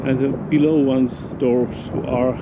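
A man with a Finnish accent, a Finnish native speaker narrating his exploration vlog outdoors, halting as he searches for English words. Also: medium pitch, level tone, phone-quality sound. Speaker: urban explorer. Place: outdoors at an abandoned mansion. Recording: loud wind noise on the mic.

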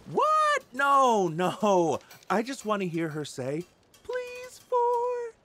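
An actor playing an excitable, flirtatious junker info dealer in a video game, with a slangy, hesitant manner that slips from sly to flustered. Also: male voice, very high-pitched, drawn-out words, faint music behind, exaggerated voice. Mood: flustered, excited. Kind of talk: video game cutscene acting.

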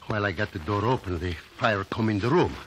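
An actor playing an earnest, kindly immigrant shopkeeper in a 1950s radio drama, speaking broken English with a foreign accent. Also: male voice, lowish voice, lively intonation, fast, a bit bright. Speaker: courtroom witness. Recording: noisy 1950 radio broadcast recording.

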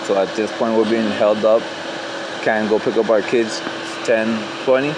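A man's voice: fairly low pitch, steady tone, moderate pace. A man, a driver at a nighttime roadside checkpoint, filming on his phone and arguing with officers in a frustrated, pushy way. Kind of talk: narrating to camera and arguing. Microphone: handheld phone. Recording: loud engine and traffic noise. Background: idling engines.

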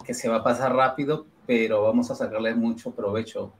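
A man hosting a casual video-call interview, asking questions in a friendly, unhurried way. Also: mid-range voice, fairly even intonation, a little hiss or hum. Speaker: podcast host. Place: home room with purple lighting.

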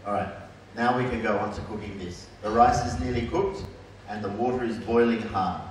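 A man's voice: fairly low pitch, moderate pace. Man chef demoing in an echoey hall. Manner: relaxed, explains step by step in short phrases. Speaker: chef demonstrating cooking. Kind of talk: live cooking demonstration. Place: large hall with a stage and audience. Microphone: headset mic. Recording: very echoey hall, heard from a distance, with audible room noise.

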